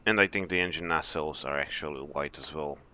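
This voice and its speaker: A man, an airline captain, chatting in a relaxed, explanatory way as he streams a flight simulator session. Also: extremely low pitch, fast talker, dull audio. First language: Polish. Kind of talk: live stream commentary.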